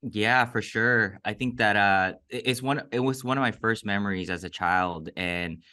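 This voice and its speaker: Podcast guest, male, raised in Mexico, native Cantonese speaker, low voice with a forceful edge, earnest and reflective. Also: moderate pace. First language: Cantonese (Toisan). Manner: reflective, earnest, many fillers. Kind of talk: casual storytelling interview.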